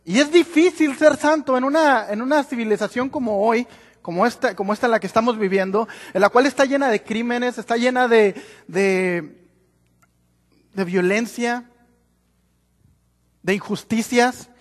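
A man with a Mexican accent, Spanish his first language, preaching a sermon in English and Spanish, halting, restarting and laughing at himself. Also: very high voice, long reverb tail. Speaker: pastor. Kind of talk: bilingual sermon with own interpreting. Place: large church sanctuary.

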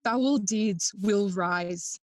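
A woman playing a brooding, suspicious young royal in a Shakespearean audio play, with deliberate, weighty delivery. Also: medium pitch, no echo, studio quality. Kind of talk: bilingual Shakespearean audio drama acting.

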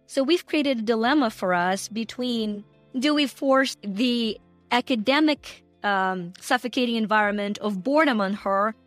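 A woman's voice: a little high, normal speed, no echo, soft background music. A middle-aged woman from Ukraine with a Ukrainian accent, telling her personal story emphatically as an interview guest. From Ukraine, former Soviet Union. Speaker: guest, entrepreneur and coach.

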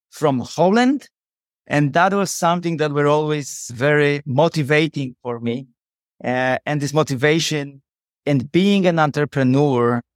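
A man's voice: medium pitch, no room sound, studio quality. A man with a Polish accent, a native Polish speaker, answering interview questions as a company head in an earnest, motivational way. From Poland. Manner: earnest, motivational, slightly non-native phrasing. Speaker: CEO guest.

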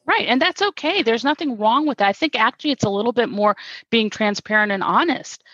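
Reflective woman guest, sincere and steady, dull remote sound. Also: on the high side, brisk, no room sound. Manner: earnest, reflective, repeats words when restarting. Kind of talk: conversational interview.

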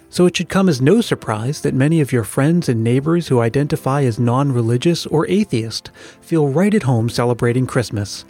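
A man's voice: medium pitch, a little mellow. A man hosting a podcast, reading scripted narration in an addressing-the-listener style. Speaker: podcast host. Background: music bed.

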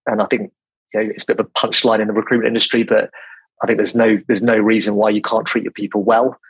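Quiet, considered exec guest, forties; low, slightly husky voice, dry studio sound. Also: male voice, fast, no room sound. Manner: quiet, calm, many restarts and repeated words. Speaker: recruitment business leader, podcast guest. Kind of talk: measured business interview talk.

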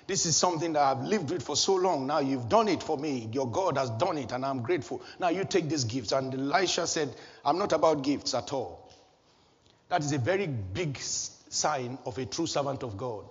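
A man preaching a sermon, animated and chuckling at his own jokes, recorded in a church hall. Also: mid-range voice, moderate pace, slightly rough. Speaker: pastor. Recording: dull echoey hall recording.

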